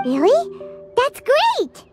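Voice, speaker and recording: A tiny fairy sidekick, childish and exclamatory. The pitch is extremely high and bounces all over, with loud music behind it. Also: female voice, huge pitch swings, drawn-out words, exaggerated voice. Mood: excited, confused. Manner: childish, exclamatory, speaks in third person. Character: tiny, excitable, childish floating fairy companion. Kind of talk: scripted video game dialogue.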